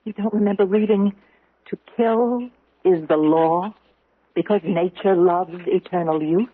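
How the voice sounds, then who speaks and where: Husky, insistent woman, old radio. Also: lowish voice. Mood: accusing. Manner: deliberate, insistent, dramatic. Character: grave, accusing woman confronting a killer judge. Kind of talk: old-time radio drama acting. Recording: roomy, muffled old radio recording.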